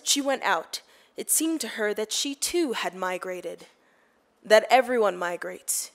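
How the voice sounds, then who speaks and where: A woman giving a dramatic reading of literary fiction as a storyteller. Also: on the high side.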